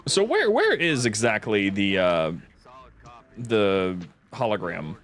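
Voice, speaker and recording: A man's voice: on the low side, big pitch swings, fairly bright tone. Curious, reactive man on a game stream. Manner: reactive, curious, quick to laugh. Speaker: stream host. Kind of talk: casual chat while playing a game. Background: background music.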